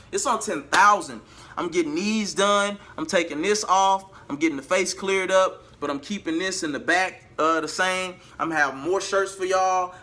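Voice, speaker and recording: A man's voice: high voice, sharp tone, slight background noise. A man telling a personal story to his viewers in a casual, emphatic way, repeating phrases for emphasis. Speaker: vlogger and R&B singer.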